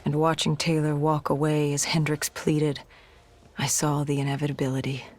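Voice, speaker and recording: Flat-toned, battle-weary soldier. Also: female voice, deep voice, moderate pace. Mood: haunted, grim. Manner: blunt, bitter, grim. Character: defiant, battle-weary female soldier recounting a mission. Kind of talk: video game narration acting.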